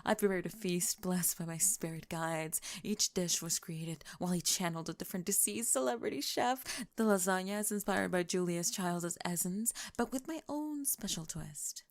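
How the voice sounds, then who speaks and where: A woman's voice: lowish voice, normal speed, hardly any pauses, no room sound, close to an everyday voice. A woman playing an eccentric, nosy middle-aged mother who gushes mystical pronouncements in an ASMR comedy roleplay. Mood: gushing welcome. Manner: theatrical, effusive, mystical pronouncements.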